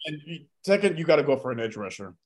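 A man's voice: fairly high pitch, very animated, fast, a little mellow. A man co-hosting a fan podcast over a video call, giving blunt, opinionated takes. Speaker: podcast co-host. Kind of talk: casual sports chat.

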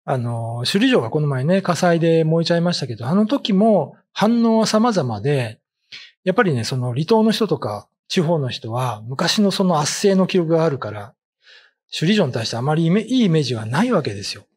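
A middle-aged man talks through old folklore in a casual, explanatory way. His voice sits a little high for a man and has a husky grain, with intonation that moves up and down freely. He sounds conversational, as if explaining to listeners across a desk. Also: big pitch swings, a little fast. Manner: conversational, explaining with everyday examples. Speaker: ghost story writer and commentator. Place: indoors, seated at a desk. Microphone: desk microphone with pop filter.

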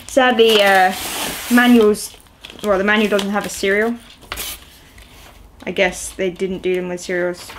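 A woman's voice: medium pitch, level tone, unhurried, background noise. A young woman in her twenties chatting casually while unboxing at home on camera, rambling with lots of ums and uhs. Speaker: video host. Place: small indoor room at home, seated on a sofa.

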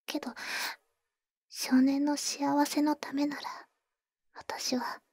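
A woman playing a tender, protective vampire older sister in a Japanese ASMR audio roleplay, soft and breathy with cool, boyish phrasing, close on the mic. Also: high-pitched, little pitch movement, slow pace, dry recording. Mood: sorrowful, tender. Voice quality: soft, breathy, often whispering. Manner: husky, cool, boyish speech. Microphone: close studio microphone, dry.

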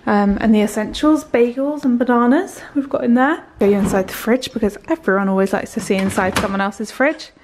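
A woman's voice: fairly high pitch, a little fast, hardly any pauses, a little mellow, a little hiss or hum. Chatty woman vlogging a tour. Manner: chatty, upbeat, rambling with fillers. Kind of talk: casual vlog house tour. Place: rented house, indoors. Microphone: handheld camera at arm's length.

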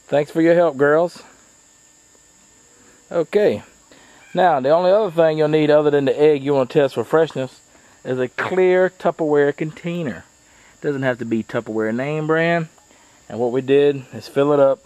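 A man's voice: medium pitch, dark tone, no echo. Middle-aged guy, folksy and chatty, casual backyard how-to, dry outdoor camera-mic sound. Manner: folksy, chatty, with frequent uh fillers. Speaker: small farm owner demonstrating.

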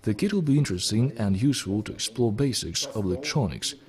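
A middle-aged man giving formal, deliberate scripted instructional narration as a presenter of technical videos. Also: fairly low pitch, lively intonation, a little mellow. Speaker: presenter of electronics kit videos.

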